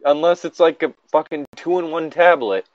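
A man's voice: fairly high pitch, moderate pace, no room sound, lo-fi sound. A man co-hosting a casual, joking commentary, exasperated and swearing freely, sometimes reading aloud. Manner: exasperated, profane. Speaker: co-host reading and mocking internet horror fiction.

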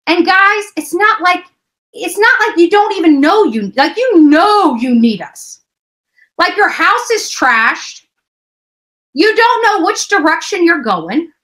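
A woman's voice: very high-pitched, sing-song, slow pace, a bit bright. A middle-aged woman on a home livestream, ranting casually in a brash, profane, emphatic way. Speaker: livestream host. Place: small indoor room at home.

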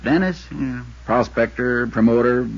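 A man playing a folksy, talkative Arizona insurance man with a drawl and a husky voice, in 1950s radio drama style. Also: mid-range voice, measured pace. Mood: confiding. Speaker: supporting actor. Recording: dull 1950s radio recording.

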